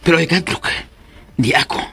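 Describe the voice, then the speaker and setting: Man as worried protective parent, grave and urgent, forceful voice, radio drama w soft music. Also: fairly quick, lightly acted. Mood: worried, pleading. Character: worried protective parent, fearful of bad omens.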